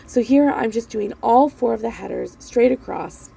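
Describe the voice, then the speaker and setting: A woman in her thirties with a croaky voice, recovering from laryngitis, chatting casually in a rambling, self-deprecating voiceover at home. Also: on the high side, normal speed, warm tone, a little hiss or hum. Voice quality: croaky, gravelly voice. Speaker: planner vlogger. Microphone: voiceover mic.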